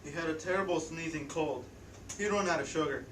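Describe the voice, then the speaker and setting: A teenage boy with a fairly high voice tells a story in a theatrical stage style. He is picked up from a distance in an echoey room. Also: fairly quick, a bit bright. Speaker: student narrator. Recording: echoey room, distant mic, faint noise.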